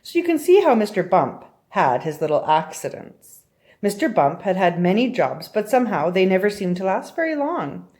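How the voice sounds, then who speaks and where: A woman's voice: mid-range voice, sing-song, moderate pace, a little mellow. A woman reading a children's story aloud expressively, often turning to address young listeners directly with questions. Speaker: storybook reader.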